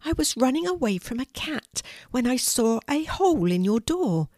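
A soft, squeaky little girl mouse, timid and a bit stammering, her voice pitched up high above an ordinary speaking voice, as a grandmother puts her on. She sounds close and dry, with no room around her, in a storybook reading. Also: very animated, moderate pace, no room sound. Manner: stammering, nervous.

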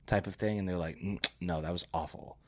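Low-voiced casual podcast host, dry sound. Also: male voice, fairly even intonation, a little fast, dry recording. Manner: loose, rambling with filler words and swearing. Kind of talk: casual chat.

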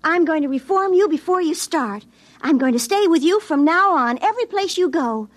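A woman's voice: very high-pitched, expressive, normal speed. A woman playing a devoted, bossy young girlfriend in a radio comedy drama, speaking in a firm, earnest, no-nonsense way. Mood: firm, determined. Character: bossy, devoted young girlfriend determined to reform her man.

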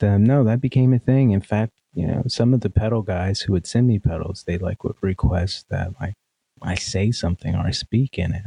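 Deep gravelly man, sleepy and nasal. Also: dark tone, dry recording, rough recording. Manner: hesitant, many ums and restarts. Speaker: guest, guitar pedal demo musician. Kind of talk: casual interview chat.

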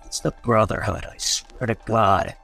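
An actor playing a rough, swaggering ghoul mayor of a seedy town, slangy and irreverent, in a radio drama. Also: male voice, fairly low pitch, unhurried, sharp tone, soft background music, full character voice. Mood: gruff, irritated. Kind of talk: old-time radio drama acting.

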